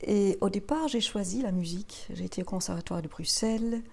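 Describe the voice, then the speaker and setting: A woman in her fifties from Belgium, a native German speaker, answering interview questions as a practitioner in a measured, explanatory way. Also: mid-range voice, gravelly, a little mellow, a little hiss or hum. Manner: measured, explanatory, listing her path step by step. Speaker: guest, family constellation practitioner.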